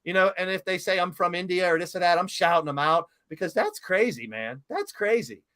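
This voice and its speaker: A man's voice: high-pitched, animated, a little fast, bright, forceful tone. A middle-aged man hosting a talk show interview, chatting casually in an enthusiastic, emotional, rambling way.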